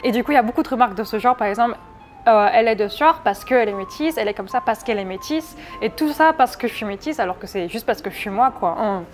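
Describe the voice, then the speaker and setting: Young woman, twenties, from France, higher pitch, candid interview chat, faint music underneath. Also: animated, fast talker. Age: 20s. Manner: candid, chatty, with hesitant restarts. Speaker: interview guest. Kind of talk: casual interview talk.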